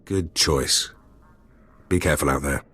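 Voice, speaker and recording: A gruff middle-aged spy fixer with a very deep, rough husky voice. He talks in blunt, terse sentences, a hard-liner in a video game. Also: unhurried, fairly bright tone, exaggerated voice. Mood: gruff, stern. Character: gruff hard-line veteran male spy turned fixer.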